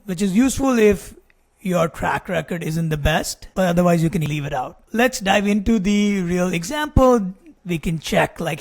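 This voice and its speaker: A man in his forties explaining business practice as a presenter, in a measured way with emphasized key phrases and informal asides, recorded indoors on a close desk microphone. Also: high voice, gravelly, darkish tone. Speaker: presenter, investor relations adviser. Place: small indoor room or home office.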